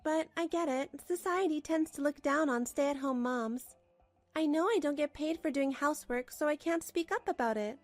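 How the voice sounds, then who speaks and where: High-voiced, weary young housewife, acted by a woman. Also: normal speed, no room sound, faint music behind, natural voice. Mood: weary narration. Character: weary, put-upon housewife narrating her own troubles. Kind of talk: first-person scripted story narration, manga dub.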